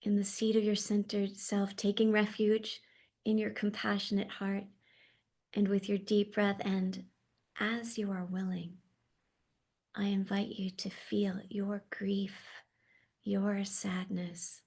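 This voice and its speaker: A woman gently leads a guided meditation, speaking slowly and softly, her words often drifting into a half-whisper. Her pitch stays level and even, with a slightly gravelly edge. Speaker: meditation leader.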